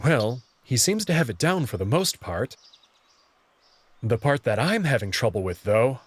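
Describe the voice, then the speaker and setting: A man's voice: medium pitch, big pitch swings, measured pace, breathy edge, dry recording. A man playing a bewildered young human who narrates his own story in a visual novel and speaks hesitantly. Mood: narrating, bewildered. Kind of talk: first-person visual novel narration and dialogue.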